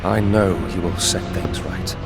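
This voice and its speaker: An earnest demigod in a fantasy game cutscene. His voice sits fairly low and he speaks gravely, in a measured storytelling manner. Loud music plays behind him. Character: solemn, earnest male demigod, son of Furies. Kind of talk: dramatic video game cutscene acting.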